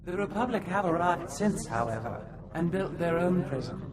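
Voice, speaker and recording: A woman's voice: fairly low pitch, animated, normal speed, a crowd in the background, close to an everyday voice. A woman voicing an earnest, formal, scholarly advisor in a game cutscene. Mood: earnest, explanatory.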